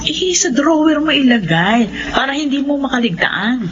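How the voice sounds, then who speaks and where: A woman's voice: high voice, animated, moderate pace, fairly bright tone. Earnest wife and mother, muffled audio. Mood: earnest, persuading. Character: earnest believing wife and doting, anxious mother. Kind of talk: Philippine radio horror drama acting. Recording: dull lo-fi audio, faint noise.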